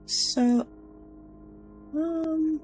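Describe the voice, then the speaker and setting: A man hosting a gaming channel, chatting casually through a playthrough while his voice is rough from sinus trouble. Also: extremely high pitch, fairly even intonation, drawn-out words, darkish tone, faint music behind. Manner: chatty, talks to the game and himself. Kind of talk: casual game commentary.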